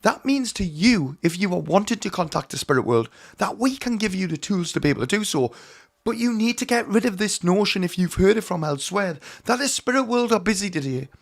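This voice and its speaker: Hoarse male spiritual teacher, slightly raised pitch, earnest and emphatic direct address. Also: sing-song, fairly quick. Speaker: mediumship teacher. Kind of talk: direct-to-camera teaching talk.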